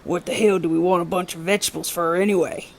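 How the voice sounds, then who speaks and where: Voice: medium pitch, fairly even intonation, fast talker, a little hiss or hum, natural voice. A narrator voicing grumpy old retired men who grumble and swear. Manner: gruff, grumbling.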